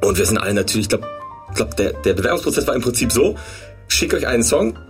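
Fast-talking young man, band singer. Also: medium pitch, sing-song, soft background music. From Munich area. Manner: quick, rambling explanations with fillers. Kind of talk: radio interview guest.

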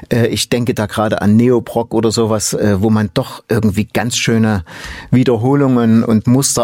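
A man asks a band about their album in a loose, rambling way, full of fillers and restarts. His voice sits at a middle pitch. The sound is dry and close. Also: darkish tone, dry recording. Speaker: interviewer. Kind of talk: casual interview chat.

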